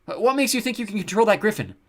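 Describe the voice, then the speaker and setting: A man's voice: fairly high pitch, expressive, fast talker, slightly rough, voice pushed up. A man playing an anxious, hot-tempered boy in a storybook, calling out urgently. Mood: alarmed. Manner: urgent shouting.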